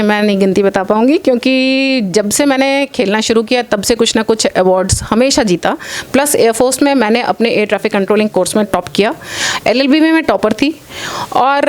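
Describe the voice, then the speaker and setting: A woman in her late forties answering interview questions earnestly, mixing English phrases into her speech. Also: fairly high pitch. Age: late 40s. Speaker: interview guest, athlete and former air force officer.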